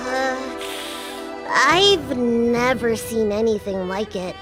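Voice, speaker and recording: A woman voicing a small, excitable young girl healer in a video game, with a youthful, expressive and bossy yet earnest delivery. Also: a little high, slow. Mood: thoughtful, concerned. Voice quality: youthful, girlish. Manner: expressive, exclaiming, dramatic. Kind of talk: video game character acting. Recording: game audio with background music.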